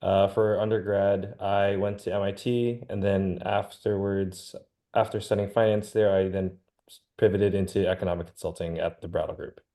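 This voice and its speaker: A man's voice: sits low, level tone, moderate pace, warm tone. A young man from New Jersey introducing himself as a student panelist. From New Jersey, United States. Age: young adult.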